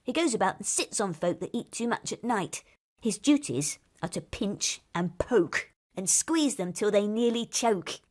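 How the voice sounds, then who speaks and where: A woman's voice: medium pitch, animated, unhurried, a little mellow. A woman voicing a chatty, mischievous, mocking male ghost who fusses over haunting rules in a theatrical reading of comic verse. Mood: brisk, dismissive. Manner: theatrical, full of chuckles.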